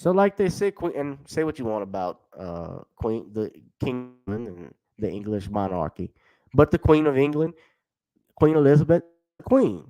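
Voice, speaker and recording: A man's voice: mid-range voice, sing-song, normal speed, mellow, dry recording, poor audio. A middle-aged man hosting a casual livestream chat, talking in a conversational, opinionated way. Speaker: talk show host.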